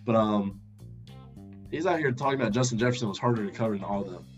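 A man's voice: on the low side, fast talker. A man hosting a podcast, chatting casually and debating sports with friends. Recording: slightly dull audio, faint music underneath.

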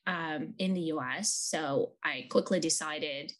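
A woman with a Ukrainian accent speaks as a lawyer guest in an interview. Her voice sits a little low, and she talks in a thoughtful way with plenty of ums. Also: unhurried. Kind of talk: conversational interview.

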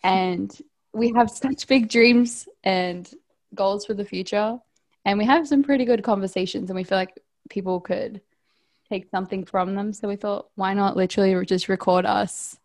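A woman's voice: medium pitch, steady tone, moderate pace, a little mellow. A woman, co-hosting a podcast over a phone call, upbeat and laughy, full of "like". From Australia. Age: 20s. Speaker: podcast co-host. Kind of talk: casual chat.

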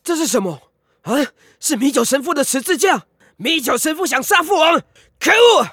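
A man acting a very high, piercing young prince. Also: sing-song, normal speed, bright, forceful tone, no echo, clean studio sound, exaggerated voice. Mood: angry, shocked. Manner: emphatic, declamatory. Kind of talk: radio drama acting.

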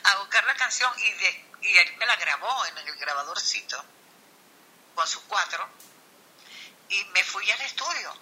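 A woman's voice: mid-range voice, expressive, measured pace, pauses often, bright, forceful tone, husky, low-quality recording. A woman singer from Venezuela telling a long story in a casual online chat, full of restarts and fillers. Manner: rambling, many restarts and fillers.

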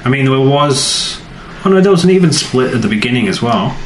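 A man co-hosting a podcast, chiming in with casual, playful remarks in an animated way. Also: mid-range voice, brisk. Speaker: podcast co-host. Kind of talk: casual chat, short reactions and interjections. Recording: echoey room, clear background noise.

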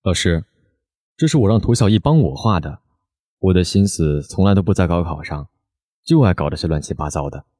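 A man playing a brooding, rebellious high-school boy in an audio drama, speaking in a curt, aloof way. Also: lively intonation, fairly quick, a little mellow, no echo, clean studio sound. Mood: defiant.

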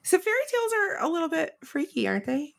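A woman's voice: very high-pitched, big pitch swings, fast talker, vocal fry, a little hiss or hum. A woman hosting a casual chat, with a playful, teasing manner and dry jokes. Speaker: podcast host.

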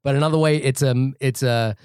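A man talking through his thoughts in a casual film-review podcast, thoughtful and halting, with many restarts. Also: medium pitch, fairly even intonation, a little mellow, smooth, no echo, studio quality. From New Zealand. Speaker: podcast co-host.

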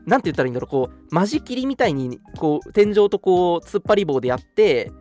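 A man chatting casually as a radio co-host, explaining things in animated, halting chunks. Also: high-pitched. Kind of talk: casual chat. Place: soundproof booth at home. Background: background music.

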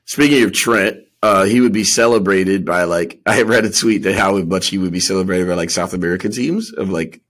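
Low-voiced man talking sports casually. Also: brisk, hardly any pauses, a bit bright. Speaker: podcast co-host.

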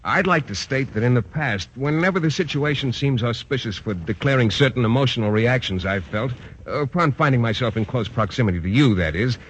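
An actor playing a shy, bashful biology teacher who stammers and hesitates, in a 1940s radio sitcom. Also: male voice, mid-range voice, animated, fairly quick, hardly any pauses, natural voice. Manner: hesitant, stammering, formal wordy. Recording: dull old radio broadcast with faint hiss.

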